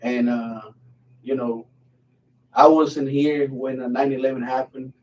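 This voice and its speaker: A man's voice: medium pitch, flat delivery, a little slow, a little mellow. Blunt, cursing veteran man, distant mic. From Mexico. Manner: blunt, swears freely, easygoing storytelling. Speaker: military veteran guest, channel host. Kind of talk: casual live chat.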